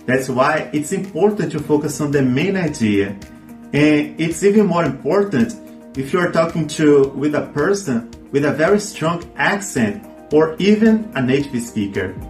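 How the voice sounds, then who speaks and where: A man whose first language is Portuguese, presenting a scripted video lesson with earnest, friendly advice to his viewers. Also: a little high. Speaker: language teacher YouTuber. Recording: echoey room with faint music.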